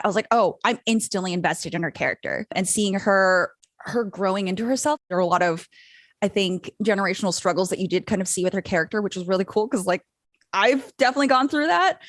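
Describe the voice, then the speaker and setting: A woman's voice: mid-range voice, dry recording. Panelist, woman from South Korea, native Korean speaker, enthusiastic and chatty, dry mic. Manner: enthusiastic, chatty, self-aware. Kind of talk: casual panel discussion.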